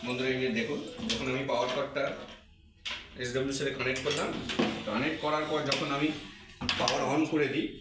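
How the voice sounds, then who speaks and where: A man's voice: mid-range voice, level tone, fast talker, pauses often, heavy background noise. Very echoey, distant man teaching step by step. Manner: repetitive, step-by-step explaining. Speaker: physiotherapy instructor presenting a tutorial. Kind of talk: informal demonstration lesson to camera. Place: echoey indoor room. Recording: very echoey, distant microphone, music behind. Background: music.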